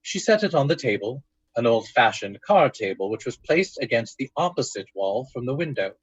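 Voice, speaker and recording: Husky male narrator of a radio drama. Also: medium pitch, big pitch swings, moderate pace, no echo, highs cut off. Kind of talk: dramatic scripted narration in old-time radio style.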